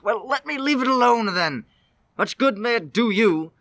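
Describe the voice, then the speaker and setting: A man playing a bitter, miserly old man in a radio play, gruff, scoffing and theatrical. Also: very high-pitched, animated, moderate pace, fairly bright tone. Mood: bitter, scornful.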